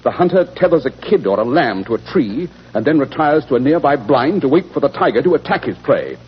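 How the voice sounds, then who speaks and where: An actor playing a brisk, superior, sardonic detective with a clipped, authoritative manner in 1940s radio style. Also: male voice, medium pitch, big pitch swings, natural voice. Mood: measured, wry. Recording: noisy, dull 1940s radio recording.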